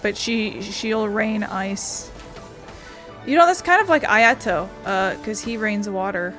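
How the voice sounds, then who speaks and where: A woman's voice: mid-range voice, lively intonation, slow pace, fairly bright tone, poor audio. A woman giving informal, wry let's-play commentary as a video game streamer. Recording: game music behind the voice.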